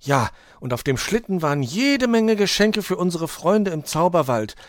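A man's voice: high-pitched, sing-song, normal speed, no room sound, studio quality, pitched above the performer's own voice. A man voicing an eager little cat detective who meows, in a children's story. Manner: adds meows to sentences.

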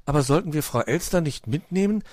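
A man's voice: a little high, animated, fairly quick, a little mellow, dry recording, clean studio sound, voice pushed up. A man voicing an earnest, kind-hearted little boy fox in a children's story. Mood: concerned.

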